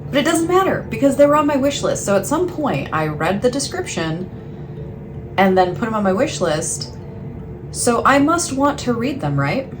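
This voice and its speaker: A woman's voice: on the high side, big pitch swings, normal speed. A woman chatting casually to camera as a book vlogger, in a rambling, self-deprecating way, often trailing off mid-thought. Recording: clearly noisy, ambient background sound.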